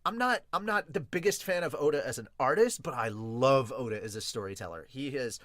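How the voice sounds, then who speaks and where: A man hosting a podcast with casual, rambling review commentary, excitable and sweary. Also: mid-range voice, fairly quick, dry recording, clean studio sound. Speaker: podcast host and manga reviewer.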